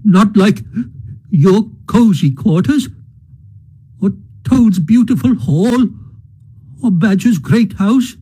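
A man voicing a timid, homesick little male mole who sobs and stammers in a high, quavering voice. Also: lively intonation, dark tone, breathy edge, full character voice, rough recording. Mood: sobbing, pleading. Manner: halting, stammering pleas. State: sobbing.

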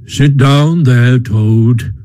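Man doing a solemn, firm badger, slow-spoken. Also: on the high side, lively intonation, mellow. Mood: solemn, kindly. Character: stern, kindly, authoritative male badger leader.

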